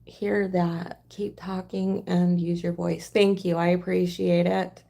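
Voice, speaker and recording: Mujer ronca que habla muy despacio, voz grave y rasposa. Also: female voice, fairly low pitch, little pitch movement, dark tone. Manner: halting, rambling. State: hoarse. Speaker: livestream crafter. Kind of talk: casual livestream chat.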